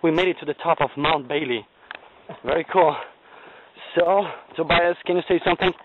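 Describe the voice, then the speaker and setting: An excited man shows off a scenic view while he films it, narrating as he points things out. His voice sits fairly high, and the recording is thin and phone-like with clear noise and clicks behind it. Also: normal speed. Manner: enthusiastic tour-giving. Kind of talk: outdoor travel video narration.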